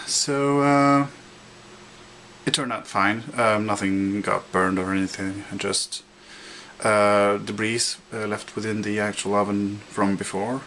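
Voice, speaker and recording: A man's voice: on the low side, level tone, slow, sharp tone, slight background noise. A young man from Norway with a Norwegian accent, telling a rambling story in a casual vlog, full of fillers, restarts and chuckles. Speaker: vlogger.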